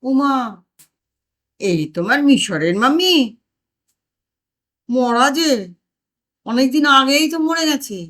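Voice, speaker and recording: Narrator lady as a nagging comic wife, high voice, fretty, exclaims a lot. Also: expressive, slow, long pauses, a bit bright, heavily acted. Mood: shocked, indignant. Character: naive, fretful, nagging housewife, comic wife.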